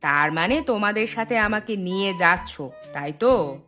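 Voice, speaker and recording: A woman voicing a sharp-tongued, easily offended landlord's wife in a cartoon, with a challenging manner. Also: mid-range voice, expressive, normal speed. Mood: pointed. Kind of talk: cartoon dub. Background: music.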